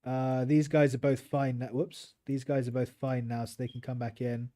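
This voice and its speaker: A British man chats easily through a relaxed game stream, mid-pitched and unhurried. He mulls over choices aloud and turns now and then to answer his viewers. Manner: easygoing, chats with viewers while playing. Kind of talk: relaxed gameplay livestream commentary.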